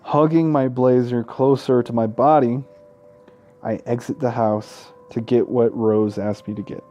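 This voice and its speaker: A young man narrates a Let's Play in a casual, unpolished way. His voice is warm and mellow at an average male pitch. He speaks haltingly and trips over words now and then. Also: fairly even intonation. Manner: halting, stumbles over words while reading. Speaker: gaming video host.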